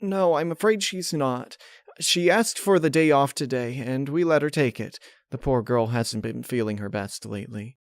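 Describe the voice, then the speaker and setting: A man voicing a kindly, motherly female pony shopkeeper in a gentle, concerned manner. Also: mid-range voice, moderate pace, dry recording, clean studio sound, voice pushed up, lightly acted.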